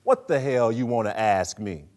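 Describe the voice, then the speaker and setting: A man playing a gruff, scornful father in a dramatic play reading, combative and incredulous. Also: mid-range voice, animated, moderate pace, a little mellow, close to an everyday voice. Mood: scornful anger. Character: gruff, scornful father berating his son.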